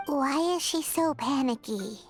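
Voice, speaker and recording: Squeaky, very high childlike fairy girl. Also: animated, a little slow. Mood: puzzled. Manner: bubbly, exclaiming, speaks in third person. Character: tiny cheerful floating fairy girl companion, childlike. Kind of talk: video game voice acting. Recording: game music behind the voice.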